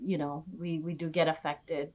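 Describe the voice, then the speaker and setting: A woman from the Philippines talking as a podcast guest, rambling warmly with many fillers. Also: low-pitched, little pitch movement, moderate pace, lo-fi sound. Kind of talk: casual interview.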